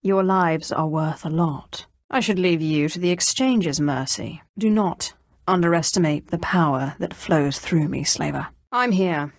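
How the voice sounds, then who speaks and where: An actress playing an imperious, menacing Sith sorceress risen from slavery in a video game, with a haughty, commanding delivery. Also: female voice, low-pitched, a little slow, no room sound, clean studio sound. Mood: threatening, disdainful. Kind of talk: scripted game dialogue.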